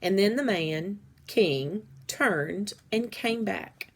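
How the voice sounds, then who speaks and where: A woman reading a children's story aloud in a measured, deliberate storytelling way. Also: mid-range voice, expressive. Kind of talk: read-aloud story narration.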